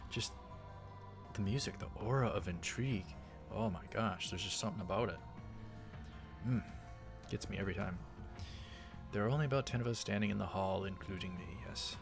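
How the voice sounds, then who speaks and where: Man, low voice, let's play narration, measured story reading, casual comments, music playing behind. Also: vocal fry. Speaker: let's player reading a visual novel aloud. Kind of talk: reading story text aloud with casual asides. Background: game music.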